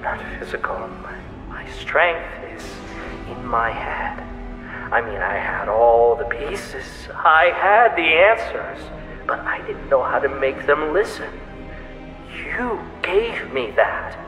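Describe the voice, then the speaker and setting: Deranged bitter killer, slow theatrical delivery, halting, gleeful menace, echoey hall, audio drama. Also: male voice, mid-range voice, expressive. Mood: eager, obsessive. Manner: halting, breathy whispers to sudden outbursts. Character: unhinged male serial killer, bitter, obsessive, gleefully menacing. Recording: echoey reverberant hall, faint music behind.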